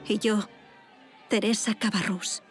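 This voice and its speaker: A woman playing a reflective noblewoman who recalls upheaval in measured, solemn audio-drama narration. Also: medium pitch, fairly even intonation, lightly acted. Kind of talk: dramatic scripted first-person narration for audio fiction. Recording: violin music behind studio voice.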